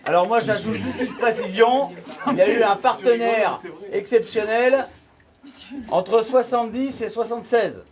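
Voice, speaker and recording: A man's voice: very high-pitched, unhurried. A man bantering casually and prompting others at a party. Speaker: riddle writer egging on the group. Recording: crowd noise in room.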